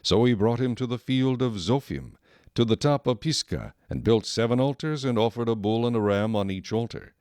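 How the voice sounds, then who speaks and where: A man reading scripted scripture narration in a measured, solemn storytelling manner. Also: medium pitch, expressive, a little mellow, clear, resonant tone, no echo, studio quality.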